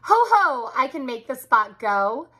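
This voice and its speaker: A woman's voice: sits high, big pitch swings, unhurried, pushed and bright, husky, voice pushed up, heavily acted. A woman voicing a mischievous, carefree male cat from a storybook, breezy and boastful.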